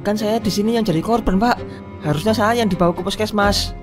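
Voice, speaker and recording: A woman's voice: medium pitch, a little fast, music in the background, close to an everyday voice. A woman voicing a cheeky young village worker. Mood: indignant. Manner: mutters sarcastic asides, talks back. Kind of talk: cartoon drama voice acting.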